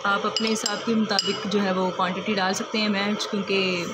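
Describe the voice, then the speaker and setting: A woman's voice: medium pitch, little pitch movement, brisk. A woman, chatting step by step through a home cooking demonstration and often using the filler "jo hai". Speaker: cooking vlogger. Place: home kitchen, indoors. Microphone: phone held over the stove. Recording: noisy phone recording in a kitchen. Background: loud kitchen noise, frying and running water.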